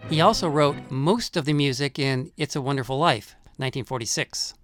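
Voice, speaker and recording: A man narrating a scripted essay on film music in a measured, explanatory manner. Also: medium pitch, a bit bright.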